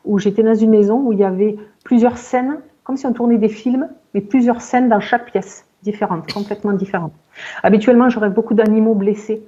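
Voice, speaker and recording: A woman in her forties giving a halting personal account in conversation, searching for words and often repeating herself. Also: medium pitch, dark tone, lo-fi sound. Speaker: client in a hypnosis session interview.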